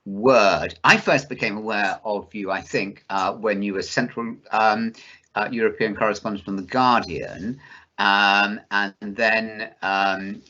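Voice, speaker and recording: A man interviewing a guest in a study at home, speaking haltingly with many hesitations and repeated words. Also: on the low side, pushed and bright, highs cut off. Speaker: interviewer, university vice chancellor. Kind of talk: conversational interview. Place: study in a house.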